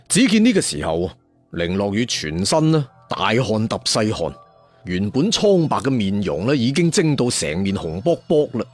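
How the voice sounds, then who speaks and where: Male storyteller for serialized fiction: slightly rough voice, animated delivery, colloquial and dramatic. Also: medium pitch. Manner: colloquial, addresses listeners with rhetorical questions. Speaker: audiobook storyteller. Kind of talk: dramatic traditional storytelling narration.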